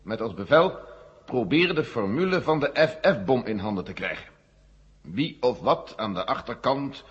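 A man's voice: medium pitch, lightly acted. Frank general in a reverberant hall played by a man. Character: frank, sober American general leading a space agency. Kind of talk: Dutch radio play acting, 1970. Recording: dull 1970 radio recording, echoing hall.

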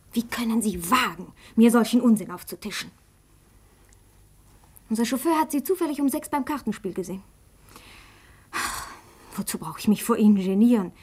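An actress playing a sharp, wounded, imperious young woman with a cutting, reproachful manner in a German radio drama. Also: fairly high pitch, breathy edge.

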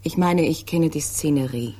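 Husky, teasing young woman. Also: low voice, moderate pace, warm tone. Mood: probing. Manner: mocking, cool, probing. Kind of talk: 1960s German radio crime drama acting.

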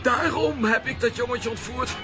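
An actor playing a cringing, jealous fairground villain who whines and pleads, in a children's radio play. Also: male voice, extremely high pitch, normal speed, over music. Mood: pleading, confessing. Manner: whining, pleading.

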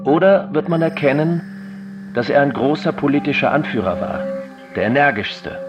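An actor playing a solemn political admirer, as a scripted voice-over in a radio documentary drama. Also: male voice, fairly high pitch, lively intonation, normal speed, dull audio, music in the background, natural voice. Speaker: German voice-over of a Spanish speaker.

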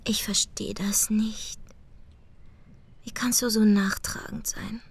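An actress playing a lonely, bitter woman in a German radio drama, brooding in a hushed, halting monologue. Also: mid-range voice, fairly even intonation, slightly rough, whispered, exaggerated voice. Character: lonely, bitter, brooding woman addressing her absent brother.

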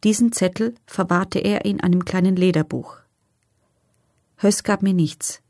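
An actress playing a former female prisoner who gives sober, measured, matter-of-fact testimony in a documentary radio drama. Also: fairly low pitch, darkish tone, no echo, studio quality.

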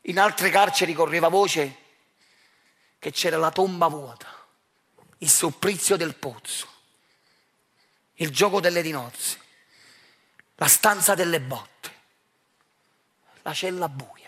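A man from Naples with a Neapolitan accent delivers a dramatic theatrical monologue in a deliberate, confiding way, addressing his listeners directly. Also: fairly high pitch, fairly bright tone, breathy edge. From Naples, Italy. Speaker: actor and writer performing his own monologue.

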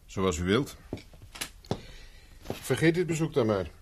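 An actor playing a persistent private investigator in a 1970s radio drama, speaking dry and insistent. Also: male voice, medium pitch, big pitch swings, takes it slowly, slight background noise. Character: dogged male private investigator, cool and persistent. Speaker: private detective Carver.

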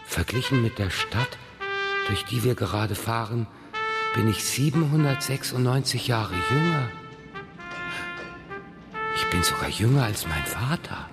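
A whimsical father who jokes and philosophizes with wry, playful mock pomp. His voice sits at an ordinary pitch in a children's radio drama, with music underneath. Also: animated, unhurried, pauses often. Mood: mock indignant. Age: 60s. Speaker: actor in a children's radio play.

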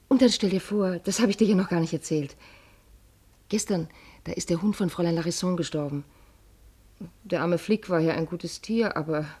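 Soft, husky, dark-voiced anxious mother. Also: on the low side. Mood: reassuring. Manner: hesitant, tender, halting speech. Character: anxious, devoted working-class mother. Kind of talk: German radio play acting.

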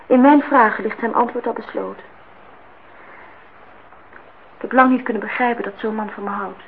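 A woman's voice: a little high, steady tone, fast talker, natural voice. A woman acting in a radio play as a defiant, idealistic young student, earnest and outspoken. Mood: earnest, tender. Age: young adult. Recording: dull, noisy old radio recording.

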